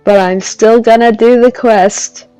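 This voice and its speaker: A woman streaming a video game, reading dialogue aloud carefully and deliberately through a dull lo-fi microphone. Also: fairly high pitch, fairly even intonation, warm tone, smooth, no room sound, soft background music.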